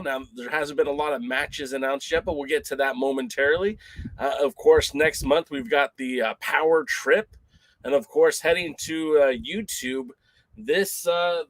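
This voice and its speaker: A man's voice: fairly high pitch, dry recording, low-quality recording. Rambling man hosting a casual chat show. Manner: rambling and conversational, lots of fillers. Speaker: podcast host.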